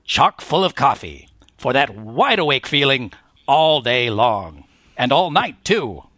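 A man's voice: medium pitch, very animated, slow, pushed and bright, no room sound, studio quality, heavily acted. A man playing an enthusiastic sponsor announcer in a parody of old-time radio coffee advertising. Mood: upbeat, salesy.

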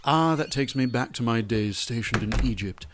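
A man's voice: mid-range voice, moderate pace, background noise, close to an everyday voice. Man playing a formal, courteous English butler. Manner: formal, deferential, precise. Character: courteous, well-travelled head butler of an English estate. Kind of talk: radio comedy-mystery acting.

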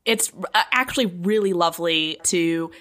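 A woman's voice: on the low side, normal speed, a bit bright. A woman talking casually and enthusiastically as a podcast panelist, in a chatty way.

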